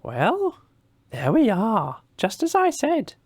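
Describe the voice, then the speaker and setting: A reader voicing a bossy, fussy male rabbit with a brisk, officious manner for a children's storybook. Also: mid-range voice, wildly swooping pitch, darkish tone, slightly rough, no echo, voice pushed up, full character voice. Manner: brisk, officious, covering up uncertainty.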